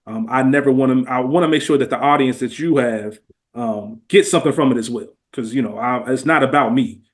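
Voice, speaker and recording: A man talks as a guest on a casual podcast. His delivery is earnest and heartfelt, full of slang and conversational tags, at a middle pitch. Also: fairly quick.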